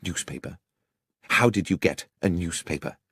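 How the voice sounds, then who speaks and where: A man's voice: deep voice, moderate pace, a bit bright, slightly rough, dry recording, studio quality, full character voice. A man with a British accent voicing a brusque, secretive Victorian detective in an audiobook, clipped and imperious. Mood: curt.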